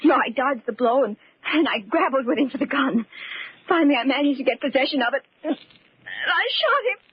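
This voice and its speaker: A woman's voice: high voice, lively intonation, normal speed. An actress playing a young woman who is a cornered murderess, hysterical and breathless, in 1940s radio acting on a muffled old radio recording.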